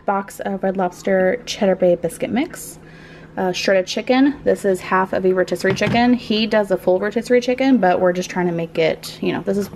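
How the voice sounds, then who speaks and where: A woman vlogging casually while sick, her voice raspy and hoarse, tired and short of breath, with small laughs between sentences. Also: medium pitch, noisy background. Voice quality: raspy, weak voice. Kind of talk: casual vlog chat.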